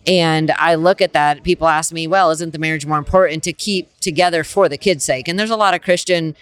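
A woman's voice: low-pitched, steady tone, fairly quick. A woman, a ministry leader, speaking as a guest in a faith-based interview, earnest and emphatic with a preaching tone.

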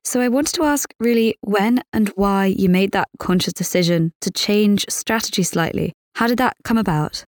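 A woman's voice: mid-range voice, normal speed, few pauses, dry recording, studio quality. A woman hosting an interview podcast, speaking in a polite, formal and articulate way as a reporter. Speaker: podcast host, financial reporter. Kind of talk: scripted podcast introduction and interview questions.

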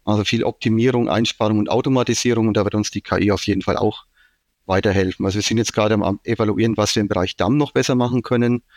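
A man's voice: on the low side, fairly even intonation, fast talker, darkish tone, no room sound, studio quality. A man from Franconia with a Franconian German accent, an IT manager being interviewed, explaining things at length with many fillers. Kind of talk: casual business interview.

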